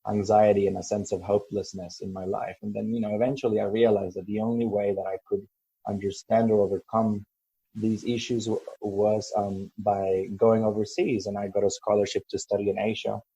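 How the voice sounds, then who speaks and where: A man from El Salvador, a coach being interviewed, speaking thoughtfully with many pauses and ums. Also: fairly low pitch, level tone, warm tone, slightly rough, no room sound, poor audio. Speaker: guest, coach. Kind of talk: podcast interview.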